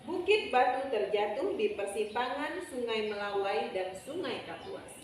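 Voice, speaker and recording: A woman's voice: a little high, animated, a little slow, recorded from a distance. A woman telling a children's story expressively and prompting listeners with questions. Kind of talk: interactive storytelling to an audience. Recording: echoey room from afar, noisy.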